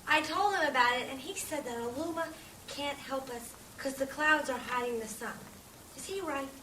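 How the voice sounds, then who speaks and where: Woman playing a bright, high-pitched eager little boy. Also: moderate pace. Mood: anxious, eager. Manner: excitable, earnest, childlike. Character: eager, innocent young orphan boy. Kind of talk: fantasy audio drama acting. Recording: roomy, distant, faint background noise.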